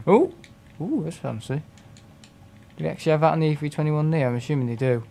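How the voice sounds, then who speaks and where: A man's voice: a little high, animated, brisk, gravelly, warm tone. A young man, chatting casually and self-mockingly while streaming a flight sim game and reading out chat. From England. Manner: chatty, self-mocking, reads chat aloud and laughs often.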